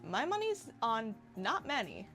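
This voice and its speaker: A woman's voice: on the high side, very animated, a little slow, fairly bright tone, soft background music. A woman in her twenties narrating a casual scripted video essay commentary, wry and given to sarcastic asides. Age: 20s.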